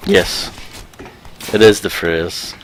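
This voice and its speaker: A man's voice: fairly low pitch, big pitch swings, slow pace, slight background noise. A man giving casual commentary as he plays a game, dry and sarcastic in short, clipped remarks. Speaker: co-host playing the game.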